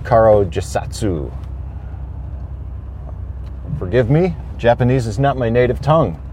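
A man hosting a homestead podcast, talking thoughtfully with pauses and fillers. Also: mid-range voice, expressive, darkish tone, slight background noise. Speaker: podcast host. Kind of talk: casual solo podcast talk. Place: on a homestead farm.

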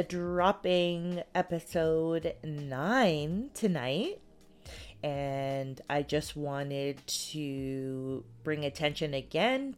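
A woman hosting a paranormal podcast, chatting casually and a bit congested, thanking listeners in a rambling, friendly way. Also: low voice. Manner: chatty, grateful, rambling with fillers. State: congested from a cold. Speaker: podcast host. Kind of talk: casual solo podcast talk.

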